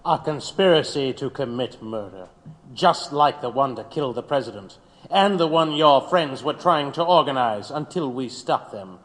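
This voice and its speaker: A man's voice: mid-range voice, animated, normal speed, a bit bright, close to an everyday voice. Man as grim, zealous interrogator in echoing room. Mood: accusing. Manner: accusing, humourless. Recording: long hall-like reverb.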